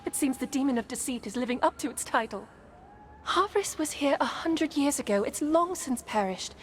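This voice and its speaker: A woman's voice: sits high, expressive, moderate pace. A woman voicing a condescending, deceitful demon in a wizard's guise, theatrical video game acting. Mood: haughty, persuasive. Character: female wizard, secretly a deceitful demon, haughty and manipulative. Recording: music behind the voice. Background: game music.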